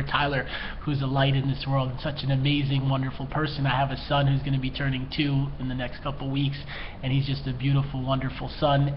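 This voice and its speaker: A man speaking as a mental health educator in an earnest, heartfelt personal talk, emotional and slightly tearful as he pleads with the listener. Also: medium pitch. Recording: dull lo-fi sound, faint background noise.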